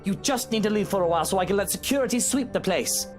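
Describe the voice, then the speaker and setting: A woman's voice: mid-range voice, expressive, quick pace, music in the background, close to an everyday voice. A woman voicing an anxious, commanding young husband in a visual novel, pleading urgently and with exasperation.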